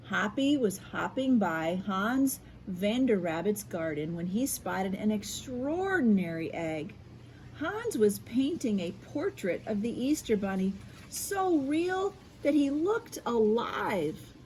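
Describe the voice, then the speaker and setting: Unhurried, animated American woman reading to children. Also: medium pitch, a little hiss or hum, low-quality recording. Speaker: school media specialist reading aloud. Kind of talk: expressive children's picture-book read-aloud with explanatory asides.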